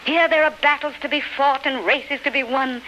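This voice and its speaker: Through clear hiss and crackle of a 1930s radio play comes the spirit of a beloved woman. Her high voice is tender and rapturous, speaking in lyrical, fervent, theatrical phrases. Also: fairly even intonation, moderate pace, bright, forceful tone, highs cut off. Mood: gentle consoling. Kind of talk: 1930s radio drama acting. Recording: noisy, scratchy 1939 radio recording.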